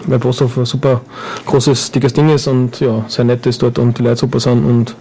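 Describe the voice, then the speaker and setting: A man's voice: mid-range voice, flat delivery, moderate pace, creaky, dark tone, slight background noise. A man with an Upper Austrian accent chatting casually as a guest in a radio studio, rambling and joking with lots of fillers. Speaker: guest performer.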